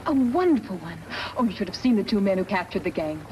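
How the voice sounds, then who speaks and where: A woman's voice: medium pitch, sing-song, fast, dull audio, natural voice. A woman playing an eager, kind young newspaper reporter in a 1950s radio western, earnest and gushing. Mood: chatty, eager. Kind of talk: 1950s radio drama acting. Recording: noisy 1950s radio recording.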